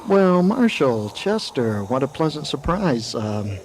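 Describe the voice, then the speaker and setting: An actor playing a hospitable, fussy frontier schoolmaster in a radio Western, with a formal, hesitant, wordy manner. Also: male voice, mid-range voice, sing-song, takes it slowly, a little hiss or hum, rough recording. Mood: welcoming, flustered. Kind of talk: Western radio drama acting.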